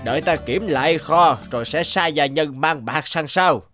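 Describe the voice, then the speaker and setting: A man's voice: on the high side, normal speed, fairly bright tone, soft background music, natural voice. Man acting a pompous, greedy cartoon landlord. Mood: smug. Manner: pompous, bossy. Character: big greedy, vain, blustering male village landlord. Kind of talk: cartoon dubbing.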